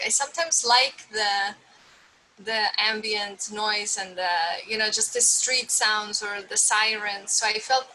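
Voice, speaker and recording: A woman's voice: mid-range voice, pushed and bright, slight background noise, poor audio. A woman artist talking casually about her video work, hesitant and full of fillers. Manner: hesitant, lots of "like" and "um". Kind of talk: casual conversation.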